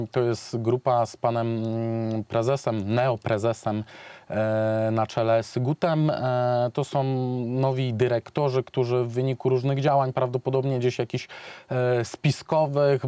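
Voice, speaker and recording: A man's voice: mid-range voice, warm tone, no room sound. A young man speaking as a journalist guest in a television studio, hesitant with many fillers and restarts. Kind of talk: studio interview.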